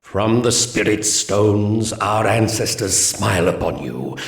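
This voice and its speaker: A man narrating solemn mission briefings in a game as a proud ancient alien commander, grave and measured. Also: lowish voice, expressive, echoey room, loud music behind the voice. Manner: grave, measured, archaic phrasing.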